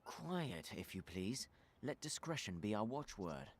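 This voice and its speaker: A man's voice: fairly low pitch, very animated, measured pace, a little hiss or hum. A man playing a stern, cautious fantasy adventurer in a video game, speaking formally and curtly. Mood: cautious, commanding. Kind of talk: dramatic video game dialogue.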